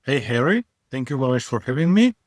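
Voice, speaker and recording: A man's voice: medium pitch, big pitch swings, no room sound, clean studio sound. Wry man with English. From Israel. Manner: frank, wry, halting non-native phrasing. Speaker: company CEO guest. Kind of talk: casual interview talk.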